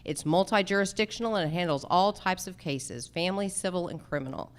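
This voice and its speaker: Lower-pitched woman, emphatic campaign speaker. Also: a little fast. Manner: emphatic, slogan-like short statements. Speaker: judicial candidate, lawyer. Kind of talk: campaign stump speech. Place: live candidate forum.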